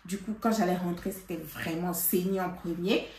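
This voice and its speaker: A woman vlogger telling a story in casual chat, animated and laughing, with lots of fillers. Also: lowish voice, normal speed. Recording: roomy, from a distance.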